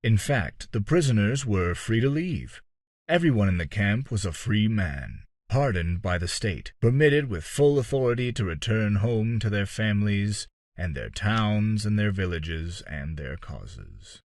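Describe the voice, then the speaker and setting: A man reading a dark fantasy story as an audiobook-style narrator, measured and dramatic, with deliberate pauses and phrases that trail off. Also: on the low side, very animated, no room sound, clean studio sound.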